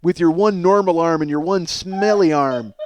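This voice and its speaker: A man's voice: fairly high pitch, normal speed, highs cut off. A man hosting a comedy podcast in a rambling, self-mocking monologue, ranting with profane exasperation.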